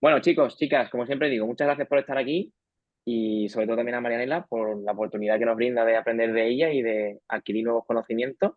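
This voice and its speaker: A man from Spain with a Spanish accent, hosting an online colloquium over a video call, brisk and friendly with the audience. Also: mid-range voice, fairly even intonation, dry recording. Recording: dry, tinny phone-like audio.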